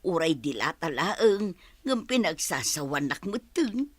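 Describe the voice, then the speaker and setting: A woman's voice: low-pitched, very animated, a little slow, no echo, heavily acted. A woman playing a poor, humble mother who is behind on her debts. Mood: worried. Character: poor middle-aged mother in debt, humble.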